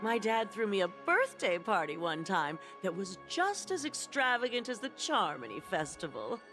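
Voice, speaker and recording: Breezy show-off game character, lively pitch, music behind. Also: female voice, moderate pace. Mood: boastful. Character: breezy, boastful, reassuring festival-goer. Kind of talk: video game voice acting.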